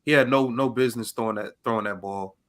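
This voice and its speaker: A man's voice: mid-range voice, fast talker, fairly bright tone. An American man co-hosting a casual sports chat, putting questions to the others in loose, slangy speech. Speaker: podcast co-host.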